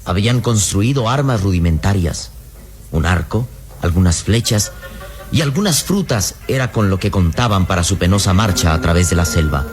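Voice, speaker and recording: A man's voice: low voice, animated, normal speed. A man narrating a radio adventure serial in a solemn, declamatory, suspenseful style. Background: faint music.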